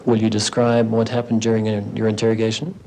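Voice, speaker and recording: A man's voice: fairly low pitch, monotone, quick pace, a little mellow, a little hiss or hum. A man working as a reporter and narrator, speaking formally in a documentary style.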